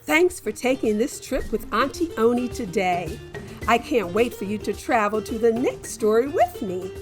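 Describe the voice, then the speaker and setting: A woman hosting a children's story show, telling a folktale with a lively, playful delivery and drawn-out phrasing. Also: on the high side. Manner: warm animated storyteller with slow deliberate pacing. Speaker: storyteller host. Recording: soft music bed behind.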